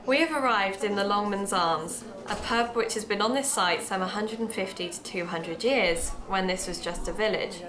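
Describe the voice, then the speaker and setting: A woman's voice: medium pitch, normal speed, few pauses, a crowd in the background, close to an everyday voice. A woman playing a keen young amateur podcaster in an audio drama, chatty and explanatory in manner. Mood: describing carefully. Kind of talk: naturalistic audio drama dialogue and narration.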